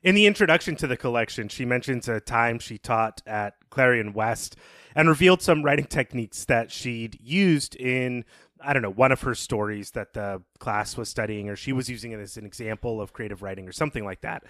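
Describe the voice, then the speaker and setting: A man's voice: mid-range voice, fairly quick, fairly bright tone, no room sound, clean studio sound. A man co-hosting a podcast, giving conversational literary commentary in an appreciative, thoughtful, analytical way. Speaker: podcast co-host.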